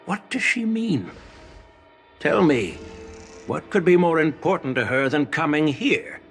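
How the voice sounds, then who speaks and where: An actor playing an older, stern male general who lectures slowly and with measured weight. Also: soft background music. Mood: probing. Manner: measured, lecturing, lively pitch. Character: stern old male general, wise and commanding. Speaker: veteran general. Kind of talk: game voice acting.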